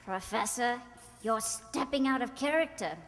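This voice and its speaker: A woman's voice: fairly high pitch, measured pace, soft background music, natural voice. A woman playing a tipsy, playful society bride-to-be in a radio play, teasing and dreamy. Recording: echoey hall, long reverb.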